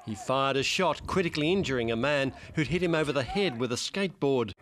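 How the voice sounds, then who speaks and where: A man's voice: mid-range voice, expressive, a little fast, very noisy. An Australian man narrating a scripted TV news report as a foreign correspondent.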